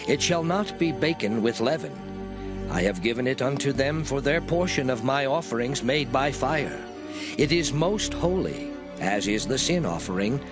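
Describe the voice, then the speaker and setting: A man giving a solemn, declarative, measured dramatized reading of old scripture. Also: mid-range voice. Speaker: scripture reader. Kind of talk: dramatized King James Bible reading, scripted. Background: music.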